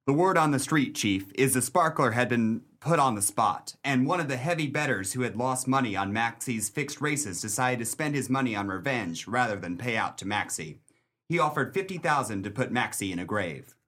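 A man's voice: medium pitch, expressive, normal speed, fairly bright tone. A man acting a loyal, vengeful 1930s police detective in a pulp crime radio play, earnest and slangy. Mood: businesslike.

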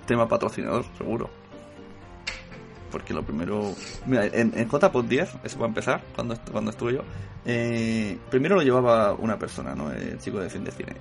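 A man's voice: lowish voice, big pitch swings, fairly quick, vocal fry, soft background music. A man from Spain hosting a casual podcast round-table, laughing often and making joking asides. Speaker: podcast host.

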